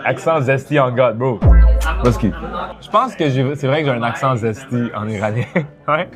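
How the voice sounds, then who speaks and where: A man's voice: mid-range voice, moderate pace, music in the background. A young man from Iran, chatting casually and mixing in English slang while tasting food. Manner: slangy, mixes English into his French. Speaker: streamer host.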